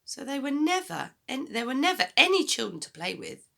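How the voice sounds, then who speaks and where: A woman reading a children's story aloud as a teacher, in expressive narration. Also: high-pitched, moderate pace, vocal fry.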